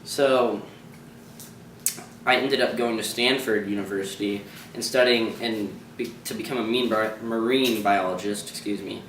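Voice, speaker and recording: A man's voice: on the low side, fairly even intonation, a little slow, a bit bright, echoey room, recorded from a distance, slight background noise, poor audio. A young man playing a boastful novelist on a school mock talk show, blunt and casual. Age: teens to young adult. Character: proud, boastful male author guest. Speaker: student playing a famous novelist.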